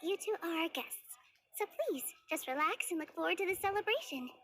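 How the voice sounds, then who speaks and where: A kind young theater dancer, with a high, bright voice. She sounds sincere and friendly in game dialogue, with faint ambience in the background. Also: expressive, brisk, a little hiss or hum. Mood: reassuring. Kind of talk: video game character dialogue.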